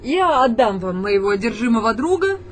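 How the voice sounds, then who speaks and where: Radio drama: proud paparazzo photographer, higher voice, guarded and questioning, slight noise behind. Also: female voice, sing-song, normal speed. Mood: firm, wary. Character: wary, proud woman street photographer and paparazzo. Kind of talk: Russian radio drama acting.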